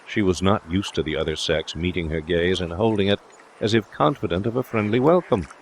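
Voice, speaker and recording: A man's voice: low-pitched, a little fast, slight background noise, low-quality recording. A man reading scripted literary narration as an audiobook narrator.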